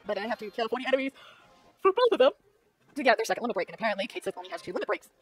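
A woman giving casual let's-play commentary, her voice raspy and strained, talking to herself and the viewers with quick asides. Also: fairly high pitch, very animated. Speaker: gamer playing a game on video. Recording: faint game music behind.

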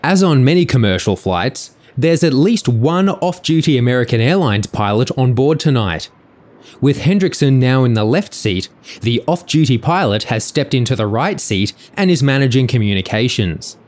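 A man narrating a documentary in a measured, sober scripted delivery. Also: medium pitch, expressive, a little mellow.

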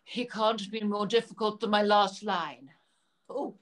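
Older woman playing a slow, bumbling pantomime prince. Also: mid-range voice, fairly even intonation, a little hiss or hum, natural voice. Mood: bumbling, earnest. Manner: theatrical, alliterative, deliberate delivery. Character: bumbling, dramatic pantomime Prince Charming, male. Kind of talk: comic pantomime acting over video call. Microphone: video call.

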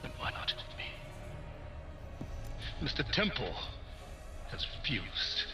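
Fanatical doctor villain for a game, measured hushed menace, echoey room, loud music behind. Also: male voice, medium pitch, big pitch swings. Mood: zealous menace. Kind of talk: dramatic video game acting.

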